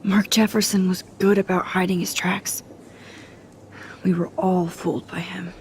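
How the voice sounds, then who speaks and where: An actress playing a shy, self-doubting young photography student in a video game, with a hesitant, understated and wry delivery. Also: female voice, fairly low pitch, level tone, unhurried, slight background noise, natural voice. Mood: subdued, earnest. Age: young adult. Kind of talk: naturalistic video game dialogue.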